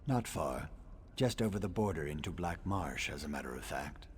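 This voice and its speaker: A low-voiced, scholarly reptilian assassin from a fantasy video game. He speaks formally and with measured care, brooding and wryly sardonic. Some ambient noise sits behind the voice. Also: lively intonation. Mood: grave, wry. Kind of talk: video game dialogue acting.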